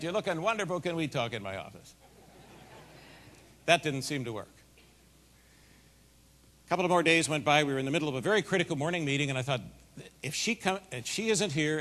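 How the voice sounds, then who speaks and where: A middle-aged man giving a keynote speech, telling a folksy, animated story in a big voice. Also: on the high side. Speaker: keynote speaker. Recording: long hall reverb.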